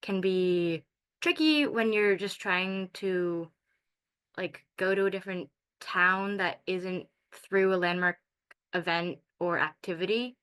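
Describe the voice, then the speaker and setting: A young woman in her late teens from Morocco, a high school student answering informally on a video call, hesitant and searching for words. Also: slow, a bit bright, clean tone.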